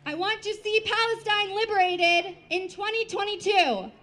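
A woman's voice: very high-pitched, moderate pace, a bit bright, a faint crowd, a little hiss or hum. A woman giving an impassioned protest speech at an outdoor rally, in short declarative lines. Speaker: rally speaker.

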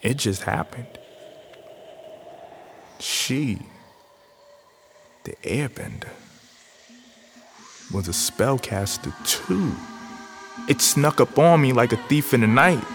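A man's voice: mid-range voice, animated, slow, pauses often. A man speaking a radio theater monologue as a young man guarded about falling in love, in an intimate, reflective spoken-word style. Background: loud music.

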